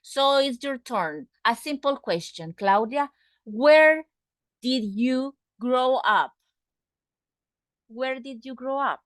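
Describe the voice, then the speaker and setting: Slow, patient woman English teacher. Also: high voice, no echo. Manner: patient, repeats words for pronunciation; asks students direct questions. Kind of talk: online English class.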